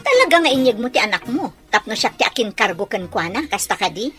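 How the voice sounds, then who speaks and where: An actress playing a blunt, reproachful older sister in a radio drama. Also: female voice, fairly high pitch, big pitch swings, quick pace, fairly bright tone, faint music behind.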